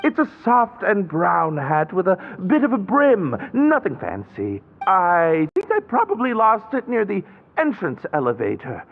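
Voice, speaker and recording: A man's voice: high voice, huge pitch swings, a little slow, creaky, phone-quality sound, slight background noise, full character voice. A man voicing a shy, polite young man who hesitantly asks for help finding his hat, in a video game.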